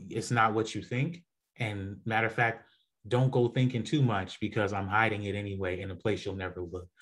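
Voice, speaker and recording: A man's voice: on the low side, fairly bright tone. A man leading an online group session on astrology, talking casually with many fillers and restarts. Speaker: astrology presenter.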